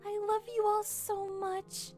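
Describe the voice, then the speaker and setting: Woman voicing a gentle, very high-pitched young pony. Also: monotone, slow, soft background music. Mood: tearful, moved. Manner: tender, hesitant. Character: gentle, emotional young female pony, Fluttershy. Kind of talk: animated fan audio drama acting.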